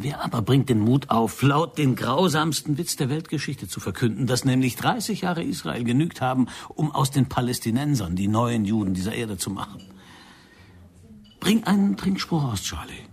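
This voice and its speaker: Husky, low-voiced controlling secret agent. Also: male voice, expressive, normal speed. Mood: impassioned, bitter. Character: intense, controlling male secret agent coaching a recruit. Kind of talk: radio drama acting.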